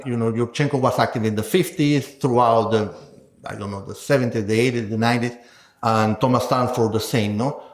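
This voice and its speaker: A man, a guest scholar, talking through ideas in an academic interview, thinking aloud and often ending points with a questioning "no?". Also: mid-range voice. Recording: roomy echo.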